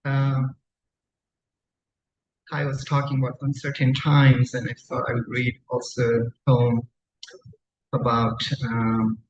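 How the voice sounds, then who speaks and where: Slow-paced man reading poetry, echoey room. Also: medium pitch, completely flat delivery. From Nepal. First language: Tamang. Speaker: poet. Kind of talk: live poetry reading with spoken introductions. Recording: dull, echoey room recording, faint noise.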